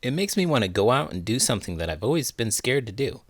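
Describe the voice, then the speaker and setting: A man giving a playful, expressive read-aloud of a picture book. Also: medium pitch, quick pace, no echo, studio quality. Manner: animated, playful storytelling.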